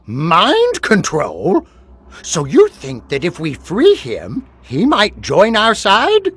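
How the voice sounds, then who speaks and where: A man's voice: high-pitched, wildly swooping pitch, takes it slowly, slightly rough, no echo, exaggerated voice. A man playing a grumpy, fretful old deity in a video game, muttering and crotchety. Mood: puzzled. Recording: loud game music underneath.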